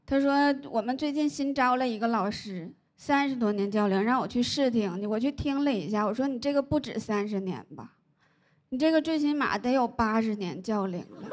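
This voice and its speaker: A woman, doing a stand-up comedy set into a handheld mic on a large stage, telling stories deadpan and landing punchlines. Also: high voice. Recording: live audience laughter noise. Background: audience laughter.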